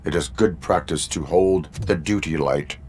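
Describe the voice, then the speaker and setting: Deep, deadpan cyborg police officer. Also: male voice, slight background noise. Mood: stern, instructive. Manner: formal, measured, deadpan. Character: stern, by-the-book cyborg police officer, male, instructing a rookie. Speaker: police field training officer. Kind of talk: video game voice acting.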